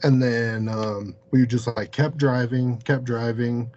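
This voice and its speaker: A man telling a story casually as an interview guest, halting and peppering his sentences with "like". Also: mid-range voice, steady tone, darkish tone, lo-fi sound. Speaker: podcast guest, paranormal investigator.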